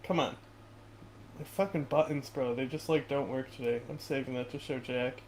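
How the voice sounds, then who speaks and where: A man's voice: mid-range voice, steady tone, normal speed, darkish tone, slight background noise. A man co-hosting a livestream, chatting casually and profanely. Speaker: livestream co-host.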